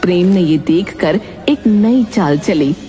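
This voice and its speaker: A woman's voice: fairly low pitch, animated, slow, warm tone. A woman narrating a scripted children's moral story. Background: background music.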